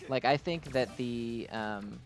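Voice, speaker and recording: A man's voice: medium pitch, takes it slowly, faint music behind. A man giving casual, unscripted commentary as he plays and reviews a video game, rambling and gushing like a fan, with self-deprecating asides.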